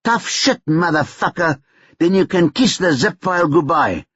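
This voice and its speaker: A man's voice: fairly high pitch, lively intonation, normal speed, fairly bright tone, husky, no room sound, lo-fi sound, voice pushed up. Man doing a cocky swearing young hustler. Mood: taunting. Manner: taunting, swears a lot. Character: defiant young male hustler, cocky and crude.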